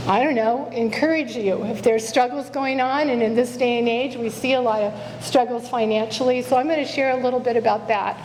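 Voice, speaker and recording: A quiet-voiced woman giving an earnest sermon and prayer as a guest speaker, often correcting herself. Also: fairly high pitch, in a large hall, slight background noise, poor audio. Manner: earnest, repeats "Father" in prayer, self-correcting. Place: church sanctuary. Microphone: stage microphone.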